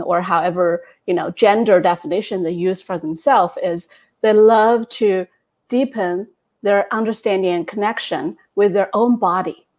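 A woman in her thirties from China with a Chinese accent, a coach speaking as a guest in a measured, friendly way with soft laughs, on earbuds over a video call. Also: medium pitch. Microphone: wired earbud headset on a video call.

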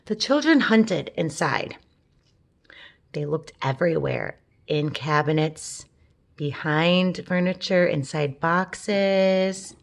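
Slow, low-pitched woman reading to children. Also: animated, vocal fry. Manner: gentle, talks to children with questions. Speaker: storybook reader. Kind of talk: read-aloud of a children's picture book with asides to young listeners.

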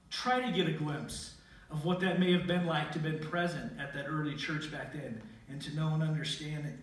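Earnest middle-aged preacher in an echoey sanctuary. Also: male voice, fairly high pitch. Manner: earnest, reads scripture aloud with rising and falling emphasis. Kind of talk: sermon with scripture reading. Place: church sanctuary. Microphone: pulpit mic, filmed from a far camera. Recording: very echoey hall from far off.

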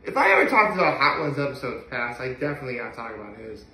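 A man's voice: mid-range voice, very animated, very fast, bright, forceful tone. A young adult man chatting casually to camera at home in a gushing way, repeating phrases for emphasis. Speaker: video blogger. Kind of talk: casual solo chat to camera. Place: living room at home, indoors. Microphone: camera or phone a few feet away. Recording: echoey living room.

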